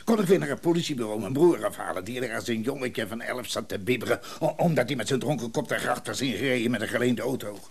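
An actor playing a blunt, exasperated shopkeeper brother in a radio drama, unforgiving and curt. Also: male voice, medium pitch, fast talker, gravelly, few pauses, slightly rough.

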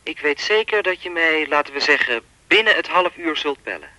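A sinister male stalker in a radio drama. His voice is very sharp and forward, fairly high for a man, and swings widely in pitch. He philosophises smugly and wordily, keeping cool control. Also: lively intonation, normal speed, dry recording. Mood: controlling, menacing. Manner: pompous, wordy, coolly controlling. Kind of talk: Dutch radio play acting.

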